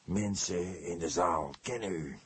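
A man voicing a tense, fervent congregation leader of about sixty in an audiobook, breathy, peremptory and unctuous. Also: sits low, a little slow, fairly bright tone, full character voice. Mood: stern, suspicious.

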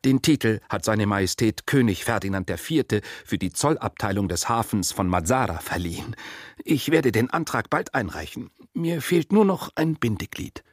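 A man's voice: fairly low pitch, expressive, moderate pace, no room sound, studio quality. A man voicing a boastful, vulgar, newly rich father in a literary story, with a sly, pompous manner. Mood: pompous, proud. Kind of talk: audiobook character reading.

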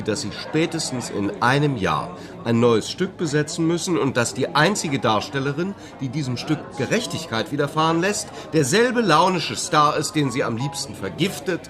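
A man's voice: medium pitch, animated, moderate pace, music in the background, natural voice. An actor playing an urbane, haughty male playwright in a German radio play, catty, sardonic and theatrical.

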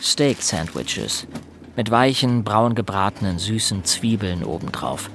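A man's voice: fairly low pitch, animated, a little slow, gravelly, a bit bright, a little hiss or hum, full character voice. An actor narrating an audio drama in the first person as a boy, with plain, observant storytelling. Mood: reflective. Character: boy narrator plainly recalling his troubled home life.

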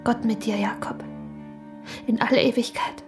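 An actress playing a proud young shopkeeper's daughter in a radio drama, blunt and reproachful, sometimes dropping to a hushed murmur. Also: female voice, a little high, steady tone, moderate pace, whispered, soft background music, natural voice. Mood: bitter, grieving.

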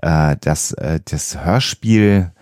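Low, mellow man hosting a podcast. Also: expressive, takes it slowly, dry recording, clean studio sound. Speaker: podcast host; psychologist. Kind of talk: casual scripted-intro podcast talk.

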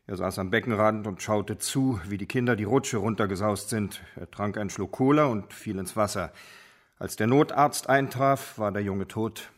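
An actor playing a sober lead homicide investigator, measured and matter-of-fact, in a radio drama. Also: male voice, fairly low pitch, close to an everyday voice. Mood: sober, factual.

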